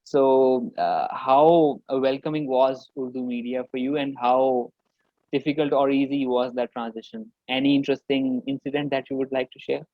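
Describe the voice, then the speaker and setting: A man's voice: medium pitch, fairly even intonation, moderate pace, no echo. Man interviewer, dry lo-fi sound. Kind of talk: interview questions.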